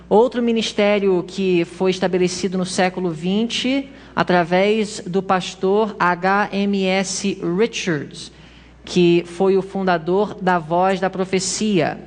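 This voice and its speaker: A woman giving a church history lecture at a congress, explaining slides with small hesitations and self-corrections. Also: on the low side. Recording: long hall reverb.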